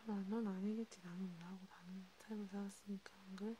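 A woman playing a sympathetic vampire counselor in a whispered ASMR role play, breathy and intimate, talking casually and haltingly. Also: medium pitch, steady tone, dark tone, slight background noise, natural voice. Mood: soft, reflective. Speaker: ASMR creator.

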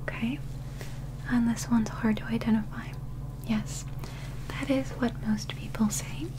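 A young woman doing a soft-spoken ASMR medical roleplay in a breathy whisper, with gentle, measured, clinical instructions. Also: a little high, steady tone, low-quality recording. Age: young adult. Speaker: ASMR performer playing an alien medical examiner. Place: small indoor set.

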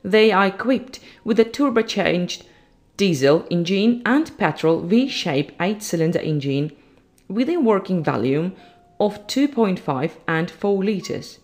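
A woman reading scripted documentary narration as a voice-over in an even, read-aloud way. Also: medium pitch, unhurried. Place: voice-over with background music.

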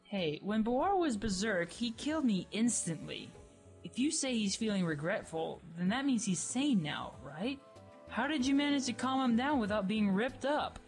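A woman playing a sarcastic, brash young female creature in an audio drama, colloquial and expressive. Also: fairly high pitch, moderate pace, soft background music. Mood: curious. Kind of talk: dramatized webcomic audio play.

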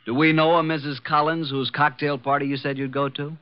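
An actor playing a breezy, wisecracking detective's assistant, glib and sarcastic, in old-time radio drama style. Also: male voice, a little high, level tone, fairly quick, lightly acted. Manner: glib, sarcastic, smooth-talking. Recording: muffled vintage radio broadcast.

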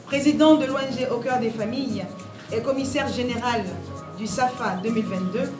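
A woman makes a solemn, formal proclamation in a conference hall. Her voice is high and sounds distant, caught in an echoey room. Music plays clearly under her words. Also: fairly quick. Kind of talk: formal ceremonial declaration.

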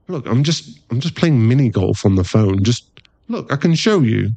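Guy acting playful asmr boyfriend, teasing and cajoling, slow gravelly voice, close dry mic. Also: medium pitch, big pitch swings, darkish tone, no room sound, full character voice. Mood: placating. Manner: teasing, halting, intimate one-sided chat.

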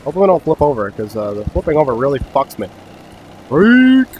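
A young man giving casual, excitable gameplay commentary, swearing often and laughing a lot. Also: a little high, quick pace, a little hiss or hum. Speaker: gaming YouTuber.

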